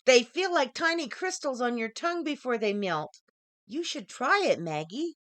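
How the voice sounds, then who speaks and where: A woman's voice: high-pitched, very animated, normal speed, a bit bright, dry recording. A woman voicing a cheerful, playful young female worker full of curiosity in a story reading. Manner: playful, questioning. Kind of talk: acted dialogue in short story reading.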